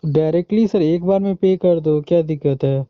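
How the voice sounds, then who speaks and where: थोड़ी ऊँची आवाज़ का भारतीय आदमी, दबी कॉल. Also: male voice, on the high side, a little fast. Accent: Indian. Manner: questioning, pushing back. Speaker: online seller on a phone call. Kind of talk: informal phone conversation. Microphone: phone line. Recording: muffled recorded phone call.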